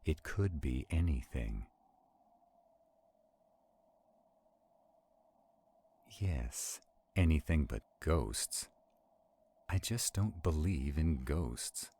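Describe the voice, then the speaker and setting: An arrogant male vampire acted by a man speaks in an extremely low, husky voice. He takes his time over every phrase, haughty and commanding, protective yet skeptical, as in a supernatural audio roleplay. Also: lively intonation, slow, warm tone, heavily acted. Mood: stern, dismissive. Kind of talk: roleplay audio drama acting.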